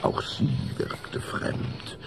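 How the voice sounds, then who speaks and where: A man narrating a scripted German children's radio play as its storyteller, with a gravelly voice. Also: very slow, background noise.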